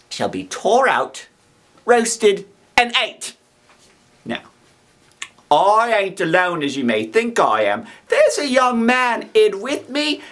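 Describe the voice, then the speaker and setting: A man voicing a rough, threatening escaped convict in a dramatic storybook reading, speaking emphatically with rustic, ungrammatical turns. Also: on the high side, a bit bright, exaggerated voice. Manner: slow, emphatic rustic speech with nonstandard grammar.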